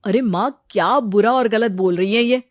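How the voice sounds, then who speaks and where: An earnest young man voiced by a woman storyteller, a reasonable suitor in a family tale. He speaks in a measured way, in a dry recording. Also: medium pitch, no room sound, lightly acted. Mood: persuasive.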